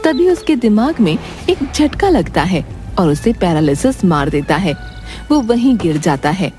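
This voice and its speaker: A woman's voice: mid-range voice, big pitch swings, measured pace, warm tone, soft background music. A woman narrating a scripted moral folk tale as a storyteller.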